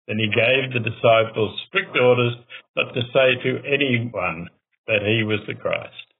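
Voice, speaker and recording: A man reading scripture aloud in a measured, formal way. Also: medium pitch, gravelly, slightly rough. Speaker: scripture reader, religious brother. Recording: muffled, phone-like narrow band.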